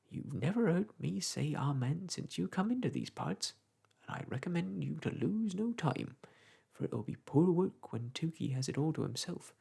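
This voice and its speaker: Man doing a garrulous old village clerk, gravelly, husky. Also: fairly high pitch, a little mellow, pitched above the performer's own voice, heavily acted. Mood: advising. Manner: rambling, folksy, lecturing.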